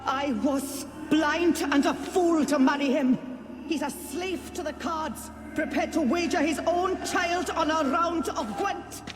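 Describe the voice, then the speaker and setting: Slow, haughty, pious ghost noblewoman. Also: high voice, over music. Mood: indignant, scornful. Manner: haughty, theatrical, formal, indignant. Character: pious, sanctimonious nagging noblewoman ghost, long-suffering wife. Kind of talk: video game dialogue acting. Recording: long hall reverb.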